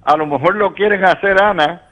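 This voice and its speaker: Puerto Rican radio host, dull audio. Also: male voice, high voice, fairly quick. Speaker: host moderating a political panel. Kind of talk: live political radio debate.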